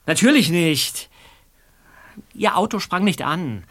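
A flustered young detective under questioning. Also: male voice, sits high, lively intonation, slow, a bit bright, exaggerated voice. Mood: defensive. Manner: defensive, flustered. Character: young male detective under interrogation, nervous. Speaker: suspect in a radio play. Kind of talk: radio play acting.

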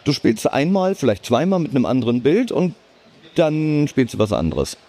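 A man co-hosting a casual gaming talk, relaxed and a little teasing. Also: mid-range voice, normal speed. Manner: relaxed, teasing, frequent backchannel agreement. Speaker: podcast co-host. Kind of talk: casual chat about games.

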